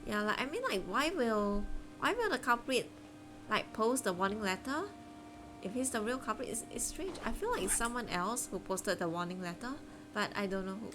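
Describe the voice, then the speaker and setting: A woman's voice: mid-range voice, animated, moderate pace, music in the background. A woman chatting casually as she livestreams a game, rambling and hesitant as she explains things. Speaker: streamer playing a story game.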